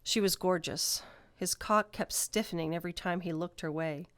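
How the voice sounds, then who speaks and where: Low-voiced woman narrating a fantasy story. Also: moderate pace, fairly bright tone, dry recording.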